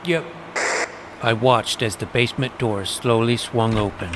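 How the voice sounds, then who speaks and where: A man's voice: medium pitch, unhurried, natural voice. A man playing a serious, imaginative twelve-year-old vampire hunter, narrating his own story in a dramatic, bookish way. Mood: distracted, tense. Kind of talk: scripted first-person story narration. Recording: noisy ambient background.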